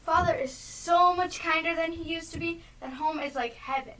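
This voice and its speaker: A woman's voice: very high voice, moderate pace, fairly bright tone. A woman on stage playing a loving, eager little girl, the younger sister in a play. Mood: joyful, tender. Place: theatre stage. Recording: live stage, distant mic.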